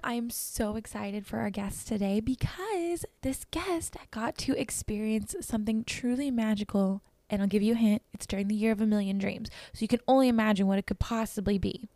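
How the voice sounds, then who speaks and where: A cheerful woman hosts a casual podcast chat, gushing with enthusiasm. Her voice sits a bit high and carries a light rasp from screaming on rides. The sound is dry and close. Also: normal speed, few pauses, warm tone, no echo. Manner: bubbly, enthusiastic. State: slightly raspy voice from screaming on rides. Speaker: podcast host.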